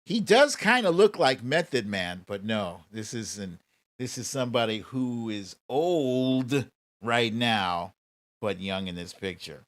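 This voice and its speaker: A man's voice: medium pitch, expressive, slow, fairly bright tone. A man hosting a casual live quiz stream, bantering with viewers in an informal, mildly sweary, teasing way.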